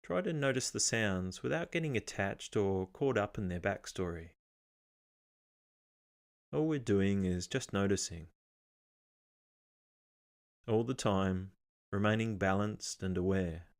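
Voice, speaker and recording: A man with a fairly low voice leads a guided meditation. His delivery is calm and measured, giving gentle step-by-step instructions and leaving pauses between phrases.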